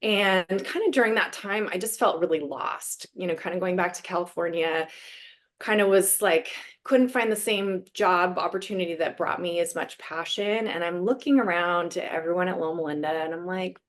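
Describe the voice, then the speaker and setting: Female guest, 50s, lower register, relaxed chatty storyteller with frequent laughs. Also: moderate pace. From Eastern Washington, USA. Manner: chatty, many fillers, laughs often. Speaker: guest, dentist. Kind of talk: casual interview storytelling.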